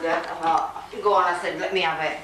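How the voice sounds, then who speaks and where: A woman's voice: fairly low pitch, fairly even intonation, quick pace. An older English woman, a grandmother, chatting bluntly and teasing her family in casual talk. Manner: blunt and teasing, swears lightly. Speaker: grandmother in home videos. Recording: noisy old home video tape.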